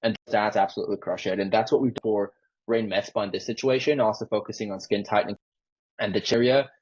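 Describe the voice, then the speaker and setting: Man, sales closer. Low, husky voice, informal pitch style, muffled call recording. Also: steady tone, fast talker, a little mellow. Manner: informal, peppered with "like". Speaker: sales closer for a marketing agency. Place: online sales call with screen sharing. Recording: dull lo-fi call audio.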